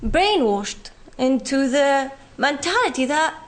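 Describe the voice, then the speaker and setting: A young woman with a Palestinian British accent, giving an earnest talk on her own experience, often pausing with fillers. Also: high-pitched, animated. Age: young adult. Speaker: guest speaker. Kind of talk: university talk from personal experience.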